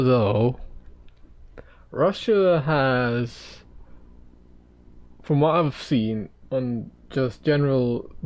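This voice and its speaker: A man talks casually with his chat while he sketches on a livestream. His voice sits at a middling pitch. The sound is dry, with no room echo, and his speech stops and restarts often. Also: a little mellow, muffled recording. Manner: halting, full of restarts. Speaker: livestream host.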